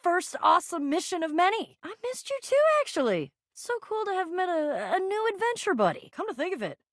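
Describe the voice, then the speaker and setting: A woman's voice: very high-pitched, big pitch swings, brisk, dry recording, studio quality. A woman voicing an eager, quest-loving young female adventurer in a video game, slangy and prone to stammering. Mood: excited, upbeat. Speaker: voice actor in a dating game. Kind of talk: scripted video game character lines.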